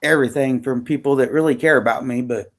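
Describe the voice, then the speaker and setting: A man on a casual video-call chat who talks so softly he nearly whispers. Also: medium pitch, steady tone, fast talker. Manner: soft-spoken, near whisper.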